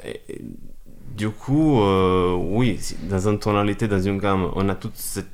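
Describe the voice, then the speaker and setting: A man's voice: fairly low pitch, a little slow. A man with an Italian accent, a musician guest, explaining his ideas thoughtfully while searching for words. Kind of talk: casual interview.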